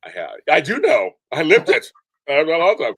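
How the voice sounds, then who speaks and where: A deep-voiced man chatting as a podcast guest, animated and rambling, often repeating himself. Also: a little fast, slightly rough, no room sound, clean studio sound. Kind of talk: casual interview chat. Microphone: remote call from home.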